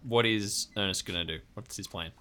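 Male game master, bright forward tone at a fairly low pitch, improvised narration. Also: moderate pace.

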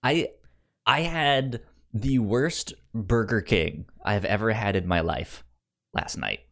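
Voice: mid-range voice, expressive, takes it slowly, vocal fry. A podcast co-host with a stutter, repeating sounds and words while telling an informal story in casual chat and acting out the dialogue.

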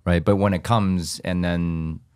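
A man who works as a real estate agent, speaking calmly and reflectively in a panel debate. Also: sits low, measured pace, smooth.

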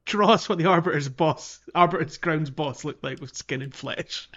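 A man's voice: fairly high pitch, moderate pace, fairly bright tone, muffled recording. A man co-hosting a podcast in casual chat, thinking aloud with a hesitant delivery. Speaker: podcast co-host. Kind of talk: casual chat, loosely explaining gameplay.

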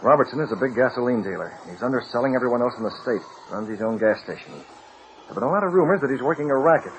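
A man's voice: medium pitch, expressive, quick pace, lightly acted. A man acting a commanding, secretive masked vigilante in an old radio adventure, clipped and authoritative. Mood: urgent, commanding. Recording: dull noisy old radio recording.

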